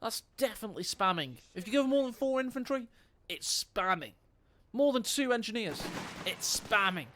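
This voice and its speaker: A man's voice: high-pitched, lively intonation, normal speed, sharp tone. A man casting a live strategy game match on stream. He jokes and talks directly to his viewers. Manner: playful, jokey, chats to viewers. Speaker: esports caster.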